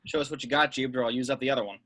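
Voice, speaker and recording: A man playing a gruff, blunt veteran secret agent with clipped, wry lines in an amateur table read. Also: mid-range voice, fairly even intonation, very fast, a bit bright, lightly acted. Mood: angry, commanding. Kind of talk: amateur at-home table read of a film script.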